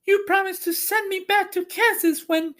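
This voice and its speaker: An earnest little girl from a storybook, plaintive and insistent, as a male narrator puts her on. The voice leaps far up into a high, girlish register. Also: fairly even intonation, normal speed, a bit bright.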